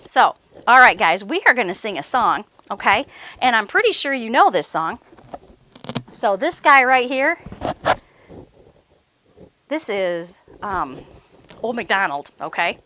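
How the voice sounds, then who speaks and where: A woman's voice: on the high side, a little slow, long pauses, noisy background. A woman hosting a story time, reading and talking expressively to young children and often asking them questions. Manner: animated, asks the children questions. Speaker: library story time host.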